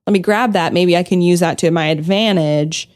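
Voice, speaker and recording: A woman's voice: lowish voice, normal speed, darkish tone. A woman co-hosting a podcast in casual chat, talking freely with lots of "like" and easy laughter. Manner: chatty, leans on "like", breaks into laughter. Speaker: podcast co-host.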